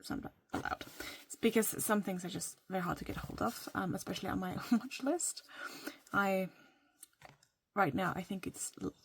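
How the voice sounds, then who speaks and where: A woman from Norway, whose first language is Norwegian, talks casually and playfully at a middle pitch. Her voice has a light gravelly fry, and she often drops into a soft whisper as she rambles. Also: normal speed, slight background noise. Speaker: vlogger. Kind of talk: casual rambling vlog while writing a journal.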